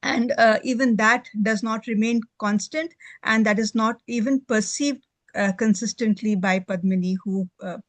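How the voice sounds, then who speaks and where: A speaker offers thoughts in a book discussion, in a hesitant, halting manner. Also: mid-range voice, steady tone, fairly bright tone, no room sound. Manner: hesitant, halting, frequent repetitions. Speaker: book club discussion participant. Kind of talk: book discussion, improvised commentary.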